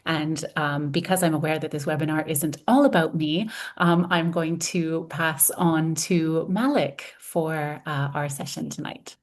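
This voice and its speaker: Woman from Montreal, lowish voice, welcoming webinar host on a video call. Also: fairly even intonation. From Montreal, Canada. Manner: welcoming, measured, with fillers. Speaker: webinar host, college counseling director. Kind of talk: webinar hosting.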